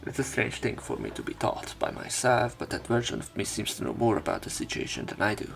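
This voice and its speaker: A man's voice: lowish voice, sing-song, fast, vocal fry, a bit bright, soft background music. A man reading game narration aloud as a let's player, breaking into irreverent, casual commentary. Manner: irreverent, crude asides.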